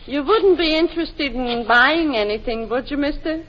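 An actress playing a glum, plaintive small-time shopkeeper in a 1940s radio drama. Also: female voice, high-pitched, moderate pace, slight background noise, lightly acted. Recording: muffled 1940s radio recording.

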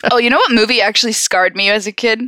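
A woman's voice: a little high, fast, bright, forceful tone, no echo, studio quality. A woman co-hosting a podcast in casual chat, talking expressively and emphatically with plenty of slang. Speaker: podcast co-host.

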